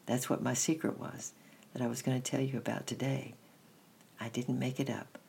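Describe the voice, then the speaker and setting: Earnest young girl, storybook reading, creaky voice, read by the narrator. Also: medium pitch, level tone, moderate pace, a little mellow, a little hiss or hum, close to an everyday voice. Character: earnest young girl telling her secret.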